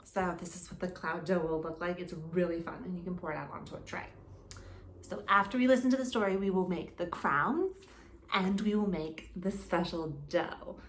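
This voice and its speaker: A woman in her thirties, a kindergarten teacher, reading aloud to young children with simple explanations and expressive storytelling. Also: lowish voice. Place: living room, indoors.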